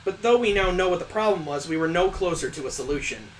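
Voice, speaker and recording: A man's voice: high voice, lively intonation, fast, a little hiss or hum, poor audio. A man reading a visual novel aloud as a let's player, breaking in with sarcastic, incredulous asides. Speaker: video game let's player.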